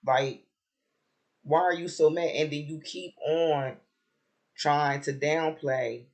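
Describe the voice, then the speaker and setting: A man with a raspy voice who has just woken up, chatting casually about a TV episode with lots of fillers and restarts. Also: fairly high pitch, slow. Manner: relaxed, rambling, many fillers and restarts. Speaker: reality TV commentator.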